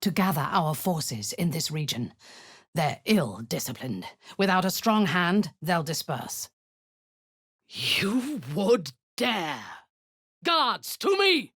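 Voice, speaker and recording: Cruel drow war leader for a video game, low husky breathy voice, slow n haughty, big swings in pitch. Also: female voice, sing-song, a bit bright, heavily acted. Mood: cold, imperious, then fierce, commanding. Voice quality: breathy, husky, often dropping to a whisper. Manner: haughty, commanding, menacing. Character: imperious, cruel female drow cult commander, seductive and zealous.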